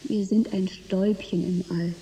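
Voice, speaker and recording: A woman's voice: mid-range voice, steady tone, measured pace, warm tone, a little hiss or hum, lightly acted, rough recording. Woman playing a wistful, world-weary teenage girl. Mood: resigned. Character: disillusioned seventeen-year-old girl in space, wistful and sardonic. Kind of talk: German radio drama acting.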